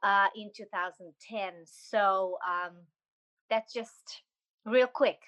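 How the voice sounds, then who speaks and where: A woman's voice: mid-range voice, slow, fairly bright tone. A woman from Slovenia with a Slovenian accent, speaking as a podcast guest, a dancer and choreographer, in enthusiastic, gushing casual chat.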